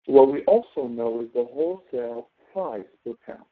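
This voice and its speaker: Tinny phone-quality audio, male professor, mid-pitched, informal walkthrough, explanatory, frequently checking understanding. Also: expressive, normal speed. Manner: explanatory, often checking with "okay?". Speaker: professor teaching an online class. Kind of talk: informal tutoring walkthrough of an exercise.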